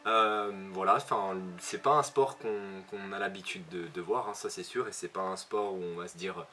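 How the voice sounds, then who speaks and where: A man's voice: low-pitched, moderate pace, sharp tone, a little hiss or hum. A young man with a northern French accent reviewing manga casually, with many fillers and self-corrections. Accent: Northern French (ch'ti). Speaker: manga video host. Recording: music playing behind.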